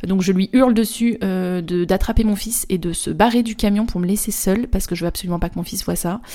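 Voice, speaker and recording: A woman's voice: mid-range voice, fast, warm tone. A young woman from France telling a personal story in a casual, rambling way, with slang, fillers and restarts. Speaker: spiritual therapist and vlogger.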